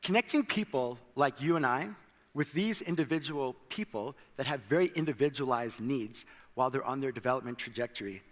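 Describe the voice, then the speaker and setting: A man's voice: mid-range voice, a little fast. American-accented man presenting in a hall. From South Africa. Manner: light self-deprecating humor. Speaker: conference speaker. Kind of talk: presentation talk. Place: large hall.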